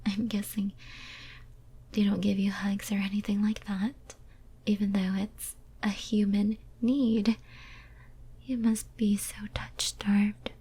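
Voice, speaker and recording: A woman's voice: medium pitch, little pitch movement. A woman playing a lonely, devoted, possessive female demon in an ASMR roleplay, whispering tenderly and intimately. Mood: tender, yearning. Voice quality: soft breathy whisper. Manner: tender, clingy, intimate, slow and halting.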